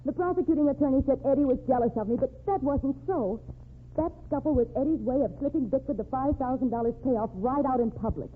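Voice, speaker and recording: A woman's voice: sits high, fast, phone-quality sound, natural voice. An actress playing a desperate, determined American woman in an old-time radio drama, insistent and suspicious. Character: desperate, determined woman defending her condemned sweetheart. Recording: thin, band-limited, noisy old radio recording.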